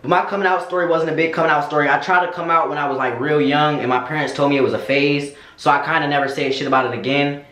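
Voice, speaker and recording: A man's voice: fairly high pitch, steady tone, fast, hardly any pauses, bright, forceful tone. A young man chatting candidly to camera, sassy and slangy, answering viewer questions. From United States. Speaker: vlogger. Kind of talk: casual Q&A chat to camera. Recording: echoey room.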